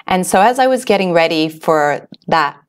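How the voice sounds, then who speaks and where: A woman's voice: on the low side, lively intonation, normal speed, clean tone. A middle-aged woman from Switzerland hosting a webinar, telling a personal story in an earnest, confiding way with fillers and restarts. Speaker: webinar host. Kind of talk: personal story told to an online audience.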